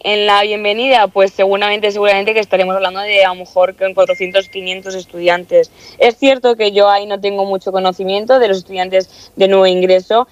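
A woman in her early twenties with an accent from Spain speaks conversationally as an interviewee. Her voice sits at a medium pitch and her delivery is earnest and emphatic. The recording is dry and a little muffled. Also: no echo. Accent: Spanish from Spain. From Castilla-La Mancha, Spain. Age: early 20s. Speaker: student council president being interviewed.

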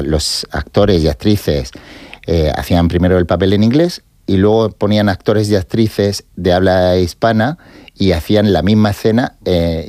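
A man talking about films on a radio show, pausing often with fillers. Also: low-pitched, dark tone, no echo, clean studio sound. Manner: hesitant, many fillers. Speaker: guest film expert. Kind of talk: casual radio talk.

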